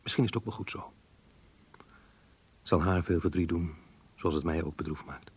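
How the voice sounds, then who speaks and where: Low, husky, grave man. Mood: remorseful, resigned. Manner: grave, measured, literary diction. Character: remorseful older man confessing forbidden love in his diary. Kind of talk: Dutch radio play monologue.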